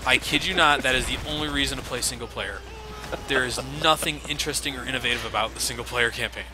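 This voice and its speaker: A man's voice: medium pitch, fast talker. Sarcastic man commentating gameplay. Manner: sarcastic, swears casually. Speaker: let's play commentator. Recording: game noise underneath.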